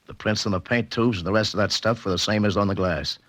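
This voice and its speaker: Breezy 1940s radio cop-lab wiseguy, male actor: low-pitched, forceful, clipped delivery. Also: quick pace, dry recording, lightly acted. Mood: confident. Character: wisecracking, cocky male police lab contact. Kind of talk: 1940s radio drama acting.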